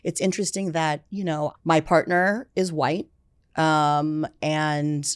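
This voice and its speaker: A woman in her forties talks in a low, relaxed register, loose and conversational, with fillers scattered through her thoughts. She sits in a dark studio, close to a boom-mounted podcast mic, with a dry, dead sound. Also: slow pace, smooth, no echo. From United States. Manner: chatty, many fillers like "you know" and "like". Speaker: film music executive, guest. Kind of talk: casual interview chat. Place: dark studio set, seated in armchair. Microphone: podcast mic on boom arm.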